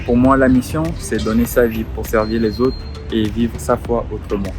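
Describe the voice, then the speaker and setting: A man in his twenties introducing himself in a quiet, unhurried way. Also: mid-range voice, flat delivery, music in the background. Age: 20s. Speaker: student. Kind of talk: prepared self-introduction.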